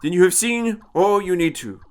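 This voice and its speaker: A man voicing an elderly, eccentric time traveller, haughty and impish, with a high, tremulous, querulous voice and a fussy manner. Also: animated, moderate pace, smooth. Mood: patronising. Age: older. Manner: haughty, fussy, hesitant turns of phrase.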